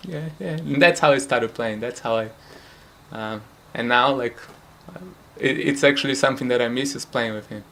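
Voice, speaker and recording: Brazilian man, relaxed hesitant interview answers. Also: medium pitch, normal speed, gravelly. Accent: Brazilian Portuguese. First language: Portuguese. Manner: relaxed, hesitant, frequent fillers and light laughs. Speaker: futsal player. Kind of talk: casual interview. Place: home.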